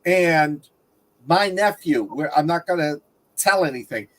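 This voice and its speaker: A woman's voice: low-pitched, lively intonation, unhurried. A woman talking casually and conversationally as a panelist on a review show.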